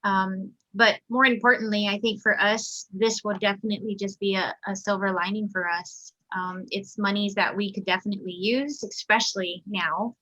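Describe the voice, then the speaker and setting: A woman's voice: mid-range voice, fairly even intonation, moderate pace, hardly any pauses, bright, forceful tone. A woman who runs a restaurant and catering business, speaking earnestly and hopefully in an interview. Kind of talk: interview answer. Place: video call. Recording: dull lo-fi audio.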